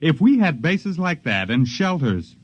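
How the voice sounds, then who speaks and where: An actor playing a stubborn, wistful retired older scientist, earnest and insistent but worn down, in 1950s radio drama style, on a dull lo-fi 1950s radio recording. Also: male voice, a little high, sing-song, unhurried, slightly rough, dry recording, full character voice. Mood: fervent.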